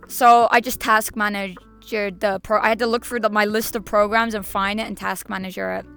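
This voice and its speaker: A woman streamer chatting casually to her viewers, her voice hoarse and raspy, rambling with stop-start restarts. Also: mid-range voice, little pitch movement, moderate pace, a bit bright, soft background music. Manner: rambling, stop-start, repeats herself, laughs often. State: hoarse, slightly lost voice. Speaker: VTuber streamer.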